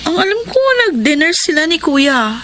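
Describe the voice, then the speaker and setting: A woman's voice: sits high, animated, normal speed, crowd noise. A woman playing an anxious young woman worried about her brother and her best friend, in a classic radio drama. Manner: worried, hesitant.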